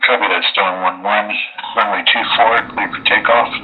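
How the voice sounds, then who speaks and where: Low-voiced male controller on tinny radio. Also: steady tone, normal speed, noisy background. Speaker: virtual air traffic controller. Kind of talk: radio phraseology. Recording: thin, narrow-band radio voice line.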